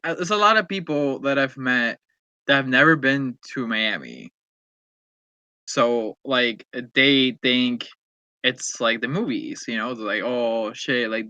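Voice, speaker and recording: A man's voice: medium pitch, pushed and bright, dry recording, dull audio. A young man from Peru, co-hosting a podcast in a laid-back, joking, profane way. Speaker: podcast co-host. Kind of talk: casual chat.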